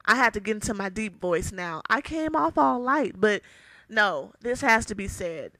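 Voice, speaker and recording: A young woman with a raspy voice, ranting heatedly and emphatically in a vlog, repeating her points. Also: mid-range voice, expressive, normal speed, bright, forceful tone, no room sound. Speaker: vlogger. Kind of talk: ranting to camera.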